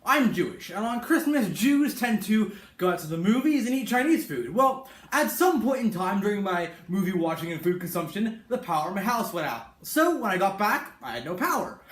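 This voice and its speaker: A man's voice: sits high, sing-song, moderate pace, hardly any pauses, fairly bright tone. A man hosting an informal video review to camera, speaking in a blunt, opinionated, rambling way. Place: home garage, indoors. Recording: echoey room with faint background noise.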